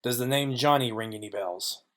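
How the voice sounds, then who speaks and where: A man's voice: mid-range voice, moderate pace, a bit bright, lightly acted. A man playing a wry, businesslike private detective narrating and questioning in a noir audio play. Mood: businesslike probing.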